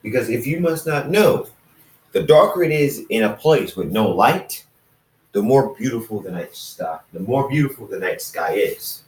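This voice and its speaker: A man reading a story aloud informally, halting and casual, with dramatic pauses and asides to listeners. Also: mid-range voice, lively intonation, darkish tone. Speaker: fan fiction narrator reading own recording. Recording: roomy, echoey, distant microphone.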